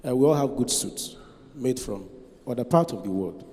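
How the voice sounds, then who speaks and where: Nigerian man from the audience, mellow low tone, formal and proud, echoey conference hall. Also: expressive, moderate pace. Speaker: audience member. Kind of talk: public comment at a forum.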